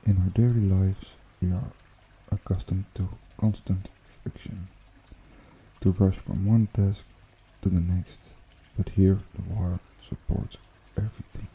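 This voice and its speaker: A man hosting an ASMR guided meditation in a hushed, unhurried, soothing way with gentle pauses. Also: low voice, steady tone, husky, rough recording. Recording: water trickling behind the voice. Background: pond water bubbling and trickling.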